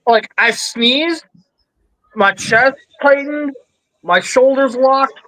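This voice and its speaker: A woman's voice: sits high, a bit bright, rough recording. Teasing woman in her thirties. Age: 30s. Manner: teasing, brisk, calls people buddy. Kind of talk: casual live-stream chat.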